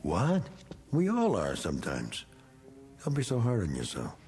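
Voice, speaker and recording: An actor playing a self-pitying, bigoted middle-aged war veteran who drinks, rambling in folksy slang in a 1960s television drama. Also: male voice, low voice, huge pitch swings, measured pace, darkish tone, slightly rough, faint music behind, heavily acted. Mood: gruffly confiding.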